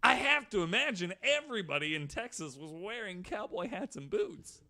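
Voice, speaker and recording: A man's voice: high voice, very animated, normal speed, creaky, pushed and bright. An American man co-hosting a podcast, telling a story from notes with casual banter. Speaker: podcast co-host.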